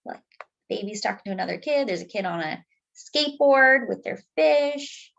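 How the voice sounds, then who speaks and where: A woman reading a picture book aloud to children, expressive and deliberate, dropping in chatty asides. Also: fairly high pitch, vocal fry, muffled recording. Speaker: children's story reader.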